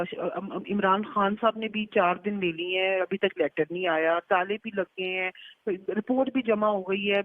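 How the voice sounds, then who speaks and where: A woman's voice: mid-range voice, no echo. A woman with a Pakistani Punjabi accent in a casual private phone conversation, recounting what was said by quoting it. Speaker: daughter on a phone call. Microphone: telephone. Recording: narrow, muffled leaked phone line.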